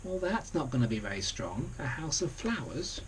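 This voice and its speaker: Medium-pitched man reading a children's story. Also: sing-song, normal speed, slight background noise, poor audio. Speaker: story reader.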